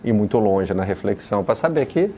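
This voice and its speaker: A man hosting a football talk show who introduces clips and thinks aloud in a measured way, often restarting his sentences. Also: lowish voice, animated, mellow, slight background noise. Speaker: sports show host. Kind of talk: casual football panel talk.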